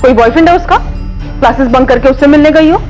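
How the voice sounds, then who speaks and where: A woman's voice: high-pitched, quick pace, darkish tone, natural voice. An actress playing a serious woman investigator in a TV crime drama. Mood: probing, serious. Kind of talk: TV crime serial acting. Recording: dramatic music behind the voice.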